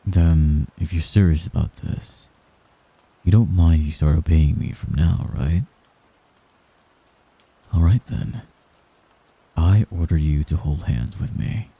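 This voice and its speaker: A man playing a young mafia heir in an intimate ASMR roleplay, a gentle, hesitant and possessive friend who gives orders apologetically. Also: rumbling low, husky, heavily acted. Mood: earnest, cautious. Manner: soft-spoken, halting, apologetic while giving orders.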